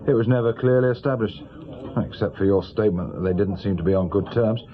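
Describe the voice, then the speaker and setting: An actor playing a brisk, self-assured senior British police officer in middle age, matter-of-fact and rather patronising. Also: male voice, on the low side, muffled recording, close to an everyday voice. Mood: casual, matter-of-fact. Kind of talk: naturalistic radio drama acting.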